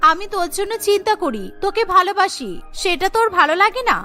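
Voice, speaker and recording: A woman's voice: very high-pitched, animated, fast talker, pushed and bright, faint music behind, full character voice. A woman voicing a bold, protective young girl, a bossy but caring big sister in a cartoon. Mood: hurt, caring. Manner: feisty, scolding, protective. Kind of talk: cartoon dialogue acting.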